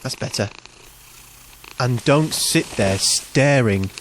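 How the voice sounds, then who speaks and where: A man's voice: medium pitch, lively intonation, slow pace, fairly bright tone, slight background noise, poor audio. An actor playing an insecure, self-doubting young man in a comic audio drama, with an awkward, grumbling delivery. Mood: exasperated.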